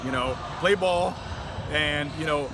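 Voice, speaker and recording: An American man with a slightly high voice talks over clear background noise at a basketball venue. He sounds like a tournament organizer giving a casual interview. His phrasing is loose and chatty. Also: unhurried. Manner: informal, peppers talk with "hey" and "you know". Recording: noisy gym, bouncing balls.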